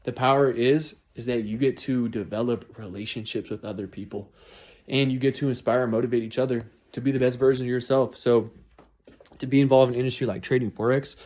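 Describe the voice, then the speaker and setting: A man's voice: medium pitch, fairly even intonation, fast, darkish tone. Twenty-something guy pumping himself up about his goals, keeps repeating himself. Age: 20s. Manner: repetitive, motivational, restarts phrases. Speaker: vlogger. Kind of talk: casual New Year's resolutions monologue.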